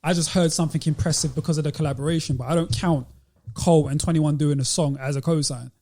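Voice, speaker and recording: A British man with a London accent speaks as a podcast co-host. His voice is soft-edged and mellow, sitting a little higher than average, and he talks in a casual discussion style. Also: steady tone, fast. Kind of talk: casual panel discussion of hip-hop.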